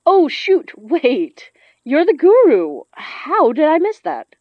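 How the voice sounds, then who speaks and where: An earnest, high-strung occultist in a podcast drama. Her pitch is very lively, and the sound is dry and a little dull. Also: takes it slowly, no room sound, exaggerated voice. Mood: flustered, excited. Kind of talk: audio fiction podcast acting.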